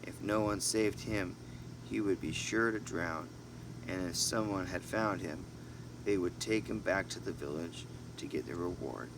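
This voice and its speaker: Man, fairly low voice, informal storybook narration, amateur reader, clear background noise. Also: a little slow. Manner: informal, stumbles and corrects himself. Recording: noisy home recording with steady hum.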